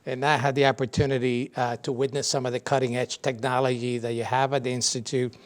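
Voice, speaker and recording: A man hosting a TV interview show, asking relaxed questions with plenty of "uh" fillers. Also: mid-range voice, quick pace. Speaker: TV show host.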